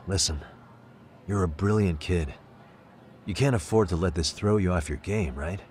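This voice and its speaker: An actor playing a calm, protective detective in a game drama, talking in a measured, matter-of-fact way. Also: male voice, low voice, slight background noise, lightly acted. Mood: reassuring. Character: calm, steady male detective, frank and protective. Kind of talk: dramatic game cutscene dub acting.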